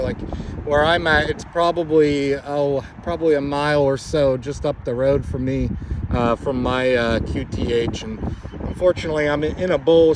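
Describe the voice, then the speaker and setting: A man chatting casually and haltingly as an amateur radio operator, recorded outdoors. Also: fairly high pitch. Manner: hesitant, halting delivery. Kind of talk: casual radio contact chat. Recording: outdoor recording with clear background noise.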